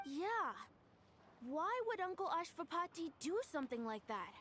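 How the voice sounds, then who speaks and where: An actress voicing a worried young girl in a game, speaking hesitantly in halting bits, over game music. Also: very high voice, sing-song, fairly bright tone, slight background noise, exaggerated voice.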